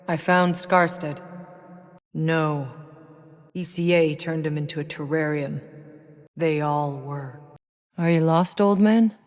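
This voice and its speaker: Game lead: actress as battle-worn woman soldier, lowish pitch, sardonic, blunt, world-weary, naturalistic delivery. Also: lightly acted. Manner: dry, blunt, clipped delivery. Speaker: video game player character. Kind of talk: naturalistic game character voice lines.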